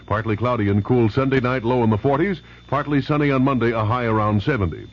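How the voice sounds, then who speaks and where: A man's voice: medium pitch, fast. An American man reading a scripted sports and weather bulletin on local radio. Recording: muffled 1970s local radio.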